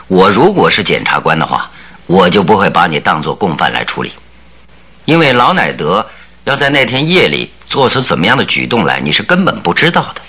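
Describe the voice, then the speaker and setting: Shrewd detective, very low voice, animated intonation, deliberate, compassionate, radio drama. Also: male voice, breathy edge, heavily acted. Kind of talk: Mandarin radio drama acting.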